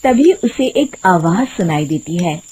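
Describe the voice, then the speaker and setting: Woman telling a kids cartoon story, slow n dramatic, super expressive, faint crickets behind. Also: on the low side, a little hiss or hum. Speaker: narrator. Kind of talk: dramatic scripted children's storytelling.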